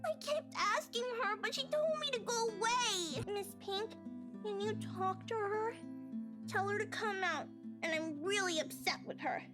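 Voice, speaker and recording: Loud music sits behind a very high, childlike voice. an upset little schoolgirl from a horror game, plaintive and sweetly creepy. She speaks very slowly. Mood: upset, tearful. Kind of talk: visual novel game voice acting.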